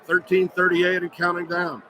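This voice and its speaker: A man calling live basketball on the radio in clipped running phrases, getting excitable on big plays. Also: high-pitched, moderate pace, crowd noise. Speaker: play-by-play radio announcer. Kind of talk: live basketball play-by-play commentary. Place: courtside at a large indoor arena. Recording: loud arena noise behind the voice. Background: loud game ambience.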